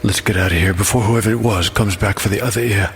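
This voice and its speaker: A man's voice: on the low side, steady tone, quick pace, a little hiss or hum, close to an everyday voice. A man playing a pragmatic, brash male thief in an audio drama, talking bluntly and under his breath. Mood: urgent. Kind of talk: audio drama character dialogue.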